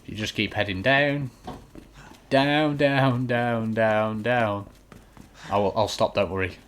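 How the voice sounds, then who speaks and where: A man with a fairly low voice talks viewers through a video game. His commentary is casual and instructional, matter-of-fact and reassuring. There is clear noise and some clicking behind him. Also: steady tone, slow, fairly bright tone. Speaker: gaming video host giving a walkthrough. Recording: clearly noisy home recording.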